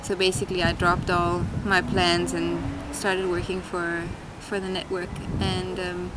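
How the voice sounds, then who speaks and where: A woman in her thirties from Denmark, thinking aloud in an interview with many pauses and fillers, seated at an outdoor street table. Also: fairly low pitch, monotone. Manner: thoughtful, many ums and restarts. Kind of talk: reflective interview answers. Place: outdoors at a street-side table by a tiled wall. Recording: loud city traffic noise behind.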